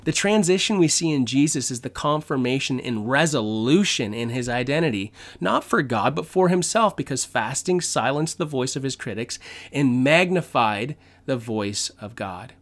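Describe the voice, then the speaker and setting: A man's voice: mid-range voice, lively intonation, normal speed. A middle-aged man preaching a sermon as a pastor, emphatic and given to dramatic repetition.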